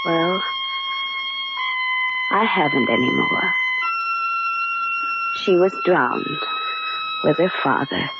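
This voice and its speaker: A woman's voice: low voice, lively intonation, slow pace, long pauses, full character voice. An actress playing an anxious, fragile wife who fears for her sanity, dramatic and hesitant, in 1940s radio style. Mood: fearful, brooding. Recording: muffled old radio recording with loud music.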